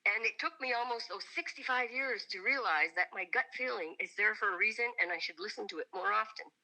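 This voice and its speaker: Raspy woman in her sixties with a cold. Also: mid-range voice, quick pace, low-quality recording. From Atlantic Canada. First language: French. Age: 60s. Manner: chatty, laughs often, expressive. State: hoarse from a bad cold. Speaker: singer guest. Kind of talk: casual interview chat.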